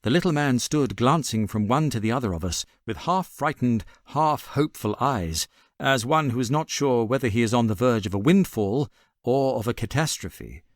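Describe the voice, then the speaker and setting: British guy narrating an old story audiobook, animated intonation, dry studio-type sound. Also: mid-range voice, normal speed, no echo. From Britain. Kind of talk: expressive literary story narration.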